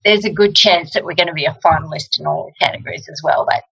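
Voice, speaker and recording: A chatty woman with a husky voice talks as a guest on a video call. Her voice is lowish for a woman yet bright, and she jokes freely. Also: quick pace, lo-fi sound. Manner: joking, chatty, laughs often. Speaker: singer-songwriter guest. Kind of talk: casual video-call chat.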